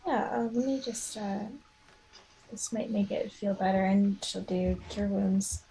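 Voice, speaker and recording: A woman's voice: medium pitch, fairly even intonation, measured pace, vocal fry, dark tone, a little hiss or hum, low-quality recording. A woman playing a tabletop roleplaying game, chatting casually and hesitantly as she thinks aloud.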